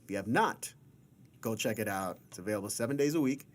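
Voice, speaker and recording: A man's voice: fairly low pitch, expressive, fast. A man hosting a casual live podcast, chatty and jokey, often repeating his phrases. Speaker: podcast host.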